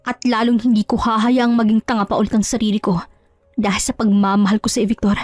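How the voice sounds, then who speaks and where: A woman's voice: medium pitch, little pitch movement, fast, a little mellow, no echo, faint music behind, close to an everyday voice. A woman voicing a hurt, proud betrayed wife in an audio drama, scolding with scornful questions. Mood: hurt, reproachful. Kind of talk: Tagalog audio drama acting.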